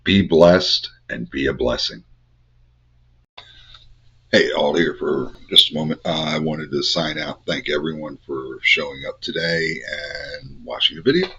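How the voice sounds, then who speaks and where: Older man, congested with a cold, very low voice, rhythmic spiritual teaching, muffled recording. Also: bright, forceful tone, slightly rough. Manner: measured, incantatory delivery in short cadenced phrases. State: stuffed up with a head cold. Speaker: Bible study host. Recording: dull lo-fi recording.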